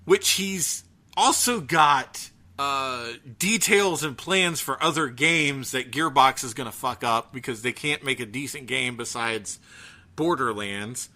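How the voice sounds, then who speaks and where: A man chatting casually as a podcast host, ranting in an animated, profane way. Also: mid-range voice, slow. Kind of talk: casual chat about gaming news.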